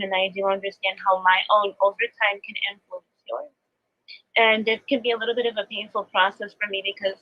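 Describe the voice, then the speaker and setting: A woman's voice: mid-range voice, no echo, dull audio. A woman speaking as a spiritual teacher, explaining earnestly and reflectively in new-age language during a live coaching talk. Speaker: spiritual teacher, astrology adviser.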